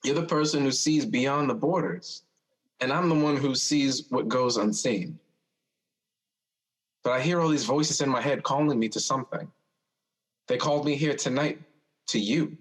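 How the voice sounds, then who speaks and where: An actor playing a gentle, wistful young man in an audio drama, speaking in a measured, musing way. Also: medium pitch, natural voice, poor audio. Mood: gentle, resigned. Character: gentle, philosophical young man, wistful older brother figure.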